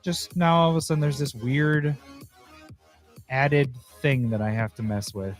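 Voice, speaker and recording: A man hosting a livestream talks casually as he works, his voice a little hoarse. He thinks aloud and grumbles when things go wrong. Faint music plays quietly behind him. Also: medium pitch, measured pace. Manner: chatty, easily annoyed, thinking aloud. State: slightly hoarse, losing his voice. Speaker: host. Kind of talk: casual livestream commentary while editing.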